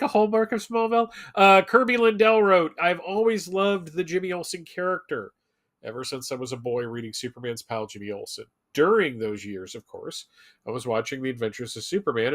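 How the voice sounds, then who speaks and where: A man's voice: high voice, fairly bright tone. A man co-hosting a live show, chatting casually and reading viewer messages aloud.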